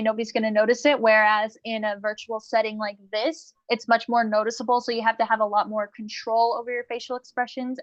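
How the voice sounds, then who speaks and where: A woman with a somewhat high voice talks casually as an actor in a Q&A. The sound is a little dull and lo-fi, with very little room in it. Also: dry recording. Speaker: stage actor. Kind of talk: casual chat.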